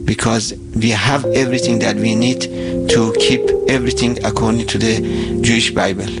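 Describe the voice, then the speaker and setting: Persian-accented man, gravelly and halting. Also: mid-range voice, low-quality recording. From Iran. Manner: halting, earnest, searching for words. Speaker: store owner. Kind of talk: personal storytelling interview. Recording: music score loud underneath. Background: music.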